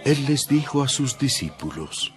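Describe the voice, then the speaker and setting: A man's voice: medium pitch, very animated, unhurried. A man narrating a dramatized scripture reading in a scripted style. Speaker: Bible narrator. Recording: loud music under the voice. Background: music.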